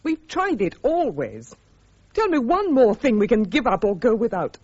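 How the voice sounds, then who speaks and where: An actress with a British accent playing an army officer's weary, bitter wife in a radio drama, brittle and sardonic. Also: female voice, on the high side, sing-song, moderate pace, a little mellow, husky. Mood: bitter, exasperated. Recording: dull, lo-fi radio drama recording.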